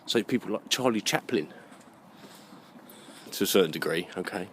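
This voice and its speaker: A man's voice: low voice, lively intonation, moderate pace, a little hiss or hum. A British man giving a rambling, opinionated monologue, emphatic and fond of rhetorical questions. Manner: emphatic, rhetorical questions, checks listener understands. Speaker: vlogger.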